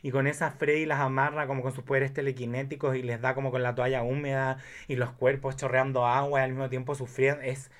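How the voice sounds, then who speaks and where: A man chatting casually about films, telling things in an animated way with emphatic asides. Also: mid-range voice, fast, hardly any pauses. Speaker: guest film enthusiast. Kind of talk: casual film chat.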